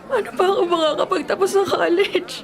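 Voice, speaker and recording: High-voiced, plaintive orphaned young woman. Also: fairly even intonation, quick pace. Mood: tearful, worried. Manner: plaintive, emotional. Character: grieving orphaned young nursing student, anxious and bitter. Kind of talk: radio drama acting. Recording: music and crowd noise behind.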